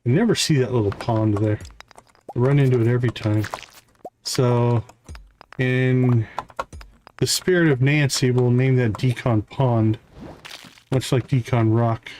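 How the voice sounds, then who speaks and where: A man hosting a livestream, giving casual gameplay commentary and thinking aloud in an unhurried way. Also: mid-range voice, darkish tone, a little hiss or hum. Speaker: livestream host.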